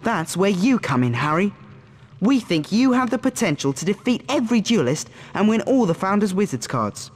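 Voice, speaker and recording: A scheming schoolboy from a video game, makes persuasive pitches. The voice is high and very animated, with a long reverberant tail. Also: male voice, normal speed.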